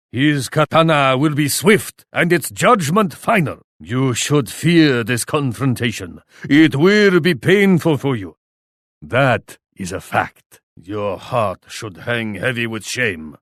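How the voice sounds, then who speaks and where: An actor playing a pompous, menacing Japanese shogun warlord in a video game, speaking with formal, scornful deliberation. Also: male voice, fairly high pitch, a bit bright, no echo, studio quality, heavily acted. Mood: cold menace. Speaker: enemy leader taunting the player. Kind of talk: theatrical video game villain voice lines.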